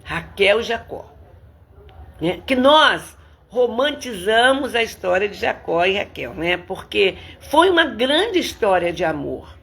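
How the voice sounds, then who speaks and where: Hoarse Brazilian woman pastor. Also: medium pitch, sing-song, unhurried. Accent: Brazilian Portuguese. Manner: fervent, warm greetings by name, frequent "né". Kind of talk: livestreamed sermon and prayer, greeting viewers.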